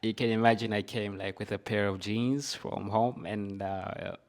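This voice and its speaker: Low-voiced Zambian man telling his story. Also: fairly even intonation, normal speed. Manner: casual, uses "like" and "you know" often. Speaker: podcast guest. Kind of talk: conversational personal storytelling.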